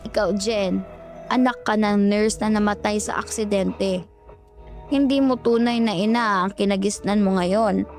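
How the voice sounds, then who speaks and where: A woman playing a grave, deliberate old ghostly grandmother who reveals dark truths in a horror audio drama. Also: medium pitch, steady tone, soft background music, close to an everyday voice. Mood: solemn.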